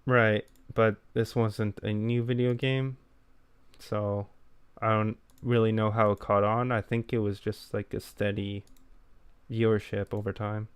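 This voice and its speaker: A young man co-hosting a casual podcast chat, talking in a low-key, plain and self-deprecating way. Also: level tone, a little slow, clear, resonant tone, no room sound. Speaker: podcast co-host.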